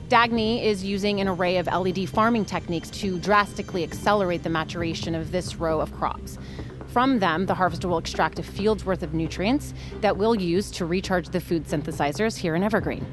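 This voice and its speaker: A woman's voice: on the low side, natural voice. An actress playing an earnest, anxious woman settler documenting a survival mission in a science fiction audio drama.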